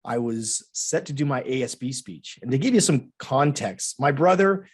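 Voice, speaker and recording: A man's voice: mid-range voice, lively intonation, moderate pace, husky. A man hosting a podcast, chatty and rambling as he tells a self-deprecating personal story. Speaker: podcast host. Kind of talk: casual interview chat.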